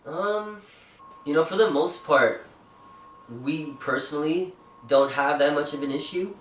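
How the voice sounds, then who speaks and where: A man's voice: a little high, measured pace, fairly bright tone, distant mic, a little hiss or hum. Young Canadian man, vlog co-host, loose rambling delivery; echoey room, mic set back. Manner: chatty, rambling with fillers and restarts. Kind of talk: casual chat.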